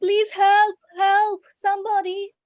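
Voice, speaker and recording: Woman as a small storybook girl: very high, sing-song, unhurried, full of oohs. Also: completely flat delivery, a little mellow, no room sound, studio quality. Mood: scared. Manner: breathy, exclaiming wonder, whispering at times. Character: cheerful little girl on a forest walk. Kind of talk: improvised storytelling acting.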